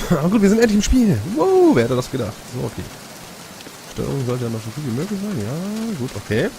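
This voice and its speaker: A man's voice: on the high side, huge pitch swings, a little fast, mellow. A man doing casual live commentary as he streams a video game. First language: German. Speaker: let's play streamer. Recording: noisy stream over game rain. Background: game rain and ambience.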